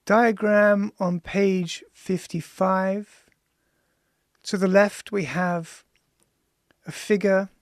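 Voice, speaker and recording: A man reading his own book as scripted audiobook narration in a studio, measured and contemplative, with deliberate pauses. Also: high voice, steady tone, dry recording. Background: music.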